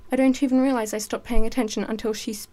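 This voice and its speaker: A woman's voice: a little high, steady tone, quick pace, dark tone. A teenage girl giving a dramatic reading of a first-person story as a student writer.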